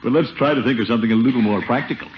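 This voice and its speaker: An actor playing a pompous, blustery but kindly middle-aged uncle in a 1950s radio sitcom. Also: male voice, medium pitch, very fast, close to an everyday voice. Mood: fatherly advising. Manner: pompous, avuncular, chuckling. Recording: muffled, noisy old radio broadcast recording.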